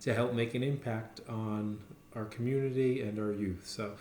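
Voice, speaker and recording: A man reading a children's picture book aloud with expressive storytelling, recorded in an echoey room. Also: lowish voice, normal speed, noisy background.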